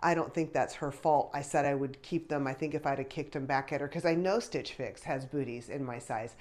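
A woman's voice: extremely low pitch, fairly quick, darkish tone. A woman in her fifties, a little stuffy from congestion, chatting casually as she unboxes and tries on clothes, on a lapel mic in a small bedroom. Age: 50s. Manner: chatty, thinking aloud with small laughs. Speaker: fashion try-on vlogger. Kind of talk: casual unboxing and try-on chat. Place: small pink bedroom, indoors. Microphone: clip-on lapel mic.

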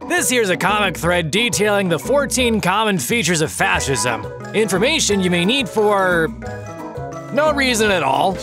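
A man's voice: high voice, very animated, moderate pace, music in the background. A man presenting a scripted introduction to a comic dub.

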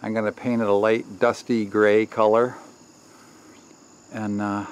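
A man's voice: on the low side, flat delivery, measured pace, clear, resonant tone. A middle-aged man giving a casual, folksy hands-on how-to, thinking aloud as he works. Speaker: DIY workshop host. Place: outdoors by a house and in a barn workshop.

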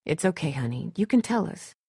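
A woman's voice: lowish voice, sing-song, a little fast, warm tone, slightly rough, dry recording, studio quality, heavily acted. A woman acting a tough, distrustful and protective survivor in a dramatic video game, blunt, sardonic and guarded. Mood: subdued, concerned.

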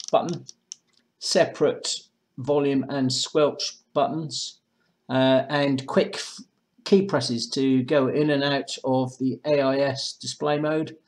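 Guy doing a product demo, matter of fact and measured, average pitched voice. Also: a little mellow. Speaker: product presenter for a marine chandlery. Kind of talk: product demonstration, semi-scripted explanation. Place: indoors, small room with block walls at a table.

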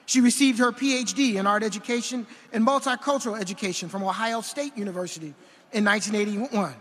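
A man with a very high voice reads a formal resolution in a measured, ceremonial way, stumbling now and then over a word. He speaks into a podium microphone. His voice rings out through a huge arena with a long reverb tail. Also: bright, forceful tone. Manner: measured formal reading with occasional slips. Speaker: university official presenting an honorary degree. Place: large indoor arena at a commencement ceremony. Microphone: podium gooseneck microphone.

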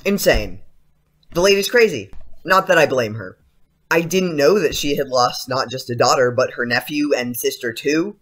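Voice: mid-range voice, animated, moderate pace, a bit bright. An actor playing a polite, skeptical, uneasy schoolboy in an audio play, speaking hesitantly. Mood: doubtful. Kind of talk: audio drama acting.

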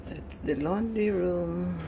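Slow, low-voiced woman touring her basement. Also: creaky, very noisy. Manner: hesitant, many restarts and fillers. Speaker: home vlogger. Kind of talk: casual home tour narration. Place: finished house basement, indoors.

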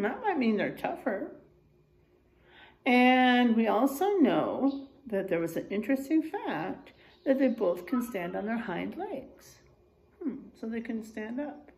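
A middle-aged woman, a kindergarten teacher reading a children's book aloud and pausing to explain things simply in a deliberate, expressive way. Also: medium pitch. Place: indoors, in a room with drywall and posters.

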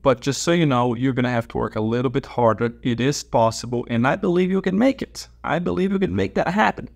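A man's voice: mid-range voice, quick pace, vocal fry. A man in his thirties, a native Portuguese speaker from Brazil, gives advice straight to camera while seated at a desk in a small office. He gestures with open hands as he explains, repeats his key points for emphasis, and is recorded on a clip-on lapel mic. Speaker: dentist and educator.

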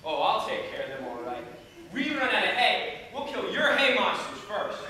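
A young man on stage playing a bossy, quarrelsome biblical son, scolding with loud, emphatic delivery. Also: sits high, big pitch swings, moderate pace, full character voice. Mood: angry. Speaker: amateur stage actor. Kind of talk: loud, declamatory school stage acting. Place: theatre stage, distant audience camera. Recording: echoey stage, recorded from far off, hiss.

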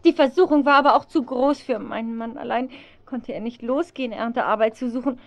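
A woman's voice: a little high, fairly quick, close to an everyday voice. An actress playing a frail, worried wife and mother in an audio drama, earnest and plaintive. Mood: weary. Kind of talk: 1970s German radio play acting. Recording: dull vintage audio-drama recording.

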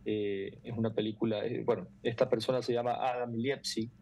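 A middle-aged man, explaining earnestly and haltingly as an interview guest on a video call. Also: mid-range voice, level tone, a little mellow, husky, poor audio. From Ecuador. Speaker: foundation president, interview guest. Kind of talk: interview answers. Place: room indoors at home or office.

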